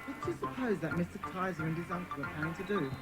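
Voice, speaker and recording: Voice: mid-range voice, lively intonation, quick pace, loud music behind the voice, natural voice. An actor playing a bossy but kindly well-spoken British boy who leads a children's secret club in a dramatised audiobook. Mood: earnest, planning.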